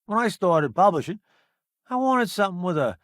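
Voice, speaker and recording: A man's voice: high-pitched, sing-song, normal speed, a bit bright, dry recording, studio quality. An actor playing a jaded, showy middle-aged food critic turned hired space crewman in a video game, with wry, flippant, slangy delivery. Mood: wry, opinionated. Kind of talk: video game companion dialogue.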